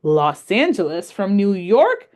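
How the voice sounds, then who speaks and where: A woman voicing a curious, excited six-year-old girl in a read-aloud story, asking question after question. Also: slow. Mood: eager. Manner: high-pitched, eager questioning.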